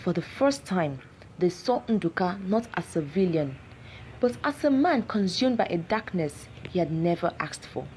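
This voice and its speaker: A woman narrating a scripted folktale in a solemn, measured, dramatic way. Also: fairly low pitch, animated, a little mellow, slight background noise. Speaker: storyteller.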